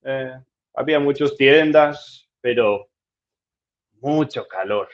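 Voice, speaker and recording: A man's voice: medium pitch, slow pace. Man in a casual, chatty Q&A. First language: Danish. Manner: chatty, easygoing, reading comments aloud with small restarts. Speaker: travel vlogger answering viewer questions. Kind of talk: casual live Q&A, switching between English and Spanish. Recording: dull lo-fi sound.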